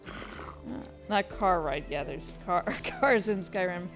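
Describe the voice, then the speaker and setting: A woman talks at an unhurried pace, in a casual and meandering way, as a writer commenting on her own work. She is halting and self-deprecating, and swears freely. Faint music plays behind her. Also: lowish voice, expressive.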